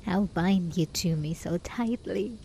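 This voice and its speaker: Casting: actress as possessive hermit enchantress for ASMR roleplay; mellow, intimate, whisper-prone, desperate and lovesick, faint noise behind. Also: female voice, fairly low pitch, creaky, rough recording. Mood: soothing, menacing. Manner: soft, slow, intimate, often dropping into whispers. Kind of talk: ASMR roleplay, scripted dramatic monologue.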